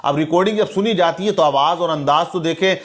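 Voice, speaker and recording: High-pitched man delivering preachy commentary. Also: fast talker. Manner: preachy, denunciatory, quoting religious sayings. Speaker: commentator and presenter of an online channel. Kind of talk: opinionated religious and news commentary.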